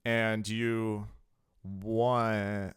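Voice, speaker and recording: A man's voice: fairly low pitch, flat delivery, extremely slow, clean tone. A man hosting a casual, chatty interview, talking in a familiar, teasing way. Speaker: podcast interviewer.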